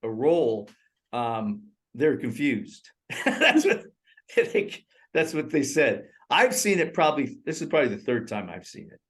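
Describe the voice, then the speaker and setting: Older guy rambling about a movie over a call, laughs a lot, jumps around, avg pitch. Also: a little slow. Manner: rambling, animated, laughs often. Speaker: co-host. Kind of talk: casual movie review chat. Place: in Thailand. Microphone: remote call.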